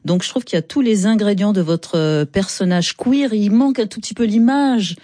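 A woman hosting a radio interview, asking questions in a measured, curious way. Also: mid-range voice, dark tone, dry recording, clean studio sound. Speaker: radio host.

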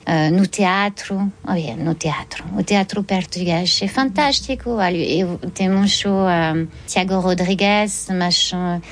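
A woman's voice: on the low side, slow pace, few pauses. Hesitant woman bookseller, full of fillers. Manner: hesitant, many fillers and restarts. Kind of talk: interview answers.

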